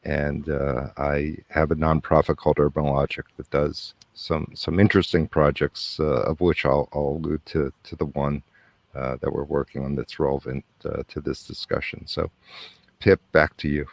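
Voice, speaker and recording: Older man, very low voice, dry sound, halting self-introduction on a video call. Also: creaky, no room sound. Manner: relaxed, halting with repeated words. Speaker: lawyer and lecturer. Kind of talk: conference presentation. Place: outdoors under a pergola.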